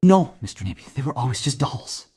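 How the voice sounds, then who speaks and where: A man's voice: mid-range voice, very animated, fairly quick, a little mellow, slightly rough. A man playing a creepy, self-justifying bookseller under questioning in a crime audio drama, pleading and excusing himself. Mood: insistent denial.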